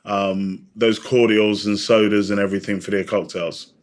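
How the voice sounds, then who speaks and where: A man's voice: lowish voice, fairly even intonation, moderate pace. A man speaking casually as an expert guest in a podcast interview.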